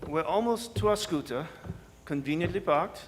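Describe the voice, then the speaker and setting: Man playing a suave, high-voiced French villain. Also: lively intonation, normal speed, lightly acted. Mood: gloating. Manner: courtly, verbose, sardonic. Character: suave, scheming French male villain, greedy and smug. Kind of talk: staged radio drama performance. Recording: live hall, long reverb, noisy.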